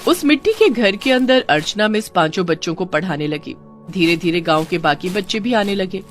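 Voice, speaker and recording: A woman's voice: on the low side, expressive, moderate pace, faint music behind. Woman narrating a children's story.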